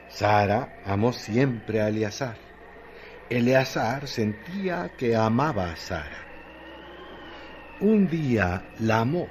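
A man's voice: medium pitch, a little hiss or hum. A man with a Mexican accent performing as the solemn storyteller of a literary radio drama. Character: solemn storyteller of a rural tale. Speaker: narrator. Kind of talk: scripted literary radio narration.

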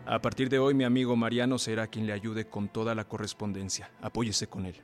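An actor playing a steady, courteous young gentleman conspirator in a radio drama, speaking formally and reassuringly. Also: fairly low pitch, a little fast, faint music behind, lightly acted.

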